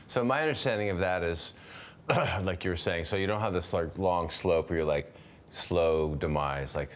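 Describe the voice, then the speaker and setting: Deep-voiced man in his fifties hosting. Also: normal speed, slight background noise. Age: 50s. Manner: thinks aloud with restarts and fillers, asks questions. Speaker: webinar host. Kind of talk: conversational interview.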